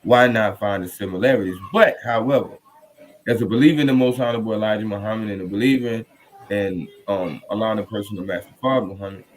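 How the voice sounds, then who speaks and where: A man with a fairly low voice hosts a podcast over some background noise. He speaks courteously and reverently, feeling his way through phrases in a halting, conversational manner. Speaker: podcast host. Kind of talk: conversational podcast introduction and discussion.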